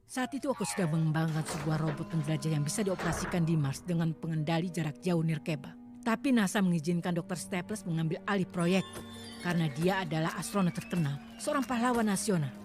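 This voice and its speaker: A woman voicing a proud, resentful female scientist in an animated cartoon dub. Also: lowish voice, quick pace, natural voice. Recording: music behind the voice.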